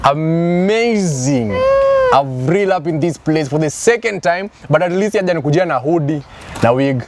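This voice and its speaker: A man's voice: on the high side, animated, normal speed, slight background noise. A man in his twenties with a Kenyan accent, hosting a casual chat interview and joking as he mixes Swahili slang with English. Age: 20s. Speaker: host.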